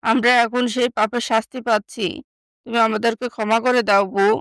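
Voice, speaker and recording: A woman's voice: on the high side, flat delivery, brisk, fairly bright tone, no echo. A woman playing a contrite young stepsister, once scheming, in a moral story. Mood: begging forgiveness. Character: scheming young stepsister, now poor and remorseful.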